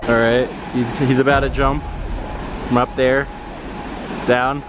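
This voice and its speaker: A young man gives offhand commentary while filming a friend outdoors, cursing casually. His voice sits at a middle pitch, set against a noisy outdoor background. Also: level tone, slow pace. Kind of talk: casual commentary from behind the camera. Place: outdoors by a waterfall.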